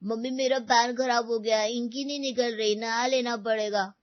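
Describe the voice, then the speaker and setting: High-pitched schoolchild, voiced by a woman. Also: steady tone, normal speed, no echo, muffled recording, lightly acted. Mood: pleading. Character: complaining schoolchild pleading with mother. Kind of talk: dramatised dialogue in an audio story.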